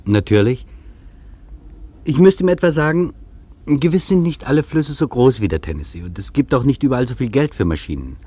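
Earnest traveller, middle-pitched male voice, reflective, rhetorical, literary radio-play delivery. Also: animated, brisk, warm tone, slightly rough. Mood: earnest, circling. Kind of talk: scripted German radio feature, literary narration.

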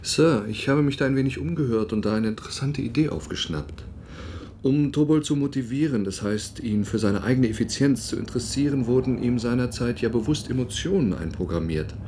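A man's voice: mid-range voice, a little fast, mellow, natural voice. A man plays a deferential official in a radio play, speaking in a middle register. He is polite, slightly hesitant and coolly calculating. Light hiss runs underneath. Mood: cautiously scheming. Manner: polite, hesitant, matter-of-fact. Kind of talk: German radio drama acting.

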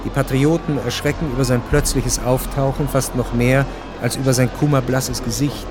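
An actor as the sober, measured narrator of a historical radio drama, reading scripted literary prose. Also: male voice, mid-range voice, fairly even intonation, over music, close to an everyday voice.

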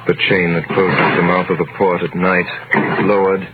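A man playing an earnest young sailor in a 1930s radio drama, low-voiced and respectful with an anxious edge. The old broadcast is thin and hissy. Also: little pitch movement, moderate pace, lightly acted. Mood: somber narrating. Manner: deferential, earnest. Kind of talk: 1930s radio drama acting with first-person narration. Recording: tinny vintage radio broadcast, clear hiss.

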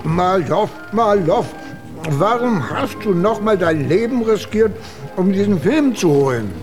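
A man's voice: sits high, lively intonation, unhurried, over music. A man playing a pompous, scheming Soviet leader in a German radio drama, speaking in a declamatory way. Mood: curious, insistent.

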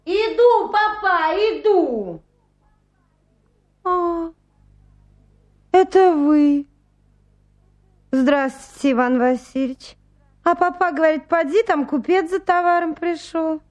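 A bustling young country lady in a radio comedy. Her voice is high and theatrical, and she chatters on hospitably like a busy housekeeper. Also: slow, long pauses. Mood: chatty, welcoming. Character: chatty, brisk young country landowner's daughter. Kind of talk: stage comedy acting in a radio play.

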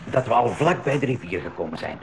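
Curious male professor, gravelly creak, animated intonation, jumpy, radio play. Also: medium pitch, fast, background noise. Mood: observant. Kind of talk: radio drama acting.

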